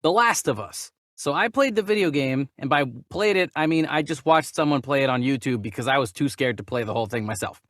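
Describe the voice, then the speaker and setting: A man co-hosting a casual movie news chat, animated and given to exaggerated questioning. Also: mid-range voice, brisk, hardly any pauses, no room sound, studio quality. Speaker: movie news podcast co-host.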